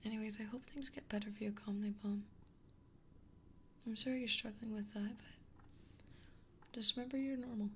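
A young woman streamer whispering softly and gently, chatting with viewers in an ASMR livestream. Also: medium pitch, fairly even intonation, normal speed, long pauses, a little hiss or hum. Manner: soft whispering, gentle and reassuring.

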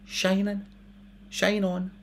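A man's voice: high voice, completely flat delivery, slow pace, voice pushed up. A Scottish man voicing a dreamy, simple-minded teenage boy who rambles and gets easily distracted. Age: young adult.

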